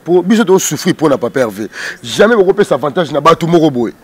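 A Congolese man from Kinshasa speaks very quickly in a fairly high voice, as a guest in a heated interview. He is emphatic and combative, often hammering a word several times over. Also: lively intonation, husky. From Democratic Republic of the Congo. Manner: emphatic, repeats words, combative. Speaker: interview guest, music group spokesman. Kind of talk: heated street interview in Lingala mixed with French. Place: outdoors on a porch. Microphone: handheld interviewer's microphone.

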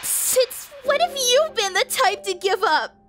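A very high, slow-spoken anime girl played by a woman, gentle and earnest. She pleads haltingly and with care, over a music bed. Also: animated, exaggerated voice. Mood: tearful pleading. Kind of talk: animated visual novel acting. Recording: music behind the voice.

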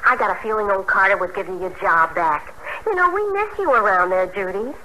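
An actress playing a brash, slangy married friend in an old radio drama, emphatic and cynical about men. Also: female voice, mid-range voice, animated, brisk, lightly acted. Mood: reassuring. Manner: emphatic, slangy, advice-giving. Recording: faintly noisy old radio recording.

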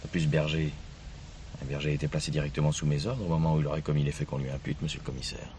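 An actor playing a stiff, dutiful subordinate police officer in a French radio play, formal and guarded. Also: male voice, extremely low pitch, steady tone, fast. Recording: noisy archive drama recording, vehicle ambience.

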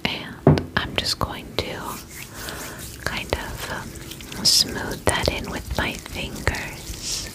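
A woman speaks entirely in a soft, breathy whisper. Her delivery is very slow and gentle, with soothing little repetitions. It sounds like an ASMR spa roleplay, full of personal attention and quiet reassurance.

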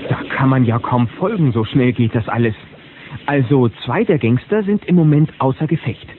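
A man as a chatty, excited narrator of a children's audio drama. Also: medium pitch, moderate pace, lightly acted. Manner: animated, chatty storyteller. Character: chatty, excited male storyteller. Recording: muffled, faintly noisy old cassette.

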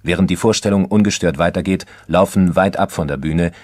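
Documentary crime narrator for radio drama, bass-deep, measured and sober, very dry sound. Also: male voice, darkish tone, no echo. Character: sober chronicler of a police case.

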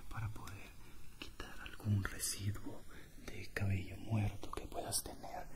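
A man in his twenties doing a gentle, soothing ASMR barber roleplay in an unhurried, breathy whisper, close to the mic. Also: fairly low pitch. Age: 20s. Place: indoor barbershop.

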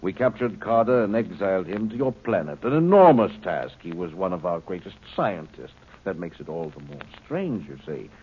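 An actor playing a cold, superior alien being in a human body, speaking precisely and with detachment, in an old 1950s radio drama. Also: male voice, low voice, big pitch swings, normal speed, few pauses, husky, full character voice. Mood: detached. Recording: dull old radio broadcast with faint hiss.

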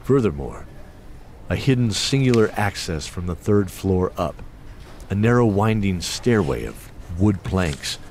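A man's voice: sits low, a little mellow, slight background noise. A man narrating a scripted fantasy story as the storyteller of an audio drama. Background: music.

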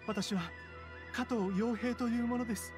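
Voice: medium pitch, fairly even intonation, moderate pace, lightly acted. An anxious, devoted younger brother from an anime game, voiced by an actor, speaks with formal deference and earnest pleading. Faint music sits behind the voice. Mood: solemn. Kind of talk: Japanese anime game voice acting.

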